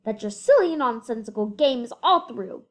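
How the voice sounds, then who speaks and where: A woman's voice: on the high side, expressive, moderate pace, natural voice. A female reader voicing a big, scornful, bossy kangaroo in a storybook, scolding with snapping emphasis.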